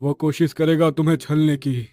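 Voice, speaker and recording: A man playing a solemn, protective tantric holy man in an animated horror story, speaking in a grave, formal, instructing manner. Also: a little high, normal speed, no echo, close to an everyday voice.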